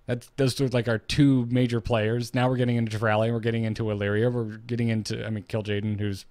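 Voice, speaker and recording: A man's voice: mid-range voice, level tone, quick pace, darkish tone, no room sound, studio quality. A man co-hosting a casual gaming podcast, opinionated and emphatic, rephrasing as he makes his case. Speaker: podcast co-host.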